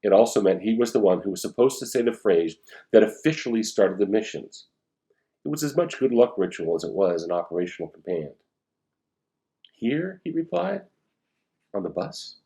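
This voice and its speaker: A man's voice: lowish voice, animated, a little fast, dark tone. A man speaking as an author, conversationally introducing and reading a storybook aloud.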